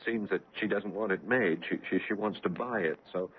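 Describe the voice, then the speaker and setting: An actor playing an anguished young bridegroom in a 1970s radio drama, agitated and halting, with intense emphasis. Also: male voice, sits low, a little hiss or hum, close to an everyday voice. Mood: distressed. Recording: dry lo-fi old radio broadcast.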